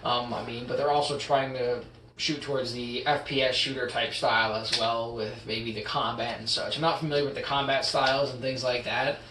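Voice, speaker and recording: A young man co-hosting a casual podcast, rambling with lots of fillers and trailing off quietly at the ends of thoughts. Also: medium pitch, flat delivery, normal speed. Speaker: podcast co-host. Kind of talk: casual chat. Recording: roomy echo, distant mic, faint hiss.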